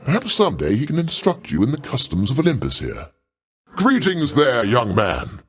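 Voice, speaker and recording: An actor playing a proud, paternal older god in a video game, speaking in a pompous, avuncular way with formal, theatrical diction. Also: male voice, medium pitch, huge pitch swings, moderate pace, fairly bright tone. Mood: condescending, then gracious. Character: grandiose, paternal older male Greek god, proud, easily offended.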